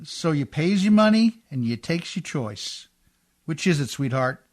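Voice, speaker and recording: An actor playing a rough-spoken, warm riverboat man in love, in old-time radio drama style with colloquial, hearty talk. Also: fairly high pitch, big pitch swings, a little slow. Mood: worried, resigned.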